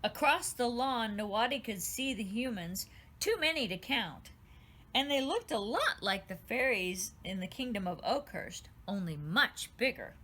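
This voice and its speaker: A woman, the author, reading her children's storybook aloud with gentle, expressive storytelling. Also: a little high, a little slow.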